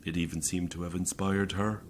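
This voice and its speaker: Man acting a deep-voiced, menacing Irish priest. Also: fairly even intonation, slight background noise, lightly acted. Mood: smug, menacing. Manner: deliberate, sardonic, conversational confession. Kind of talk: audio horror drama acting.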